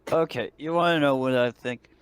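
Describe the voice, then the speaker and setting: A man playing a tabletop game in character, talking casually with wry, gruff, sweary lines. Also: medium pitch, a little fast, no echo. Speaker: player in a tabletop RPG.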